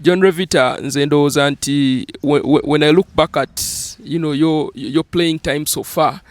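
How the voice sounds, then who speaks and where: A man's voice: on the high side, fairly even intonation, normal speed, no echo. A young man with a Ugandan accent hosting an interview, upbeat and full of fillers, switching between English and Luganda. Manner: upbeat, many fillers like "uh" and "you know". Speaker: interview host.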